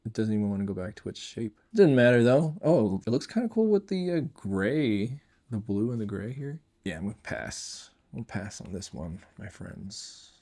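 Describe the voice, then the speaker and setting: A man with a low voice and a gravelly fry talks through a product as he handles it. His delivery is casual and unscripted, made of short remarks as he thinks aloud. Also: very animated, normal speed, hardly any pauses, dark tone. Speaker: product reviewer. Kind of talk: casual, unscripted unboxing commentary.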